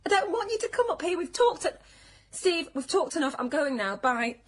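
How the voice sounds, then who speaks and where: A woman's voice: very high voice, sing-song, moderate pace, slight background noise. A woman playing an anxious, stubborn young woman in a naturalistic radio drama. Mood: upset, pleading. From northern England.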